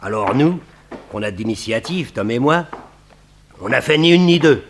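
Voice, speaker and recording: An actor plays a boastful, rather dim male gangster in a 1960s French radio drama. His mid-pitched voice is slangy and self-satisfied. The old recording is slightly noisy. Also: expressive, moderate pace, lightly acted. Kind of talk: 1960s French radio crime serial acting.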